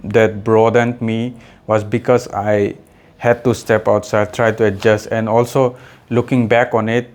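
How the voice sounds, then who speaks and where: Indian guy in his 30s, adi is his first language, rambles with lots of likes, voice on the low side. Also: darkish tone. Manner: rambling, many fillers and restarts. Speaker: vlogger. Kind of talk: reflective casual monologue.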